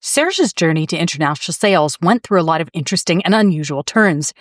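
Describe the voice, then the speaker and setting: A woman host introduces her guest in smooth, scripted narration. Her pitch is a little low, but her melody is lively. The recording is close and dry. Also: fast talker, dry recording. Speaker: podcast host.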